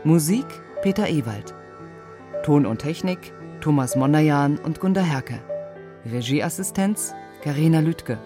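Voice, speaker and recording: Slow-paced male credits announcer. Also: fairly high pitch, animated, music in the background. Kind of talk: scripted credits reading.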